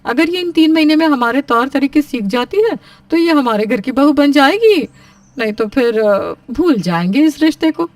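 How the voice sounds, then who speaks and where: Stern, high-voiced mother-in-law, played by a woman. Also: expressive, normal speed, recorded from a distance. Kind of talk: scripted story dialogue.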